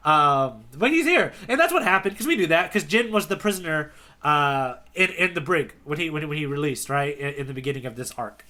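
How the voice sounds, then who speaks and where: A man hosting a podcast, casually retelling a comic chapter, animated with many fillers and restarts. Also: on the high side, brisk, hardly any pauses. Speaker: podcast host, tour guide.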